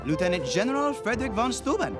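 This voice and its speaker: An eager, gallant young officer in an animated series. His voice sits high and swings a lot as he speaks in earnest, formal sentences. Music plays behind him. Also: fast. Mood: admiring.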